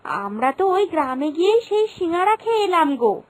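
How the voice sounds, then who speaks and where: Woman voicing a very high-pitched, chatty village girl. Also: sing-song, moderate pace. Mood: chatty, excited. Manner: gushing, chatty, familiar. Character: chatty young village girl student, eager, gushing. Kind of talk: Bengali cartoon voice acting.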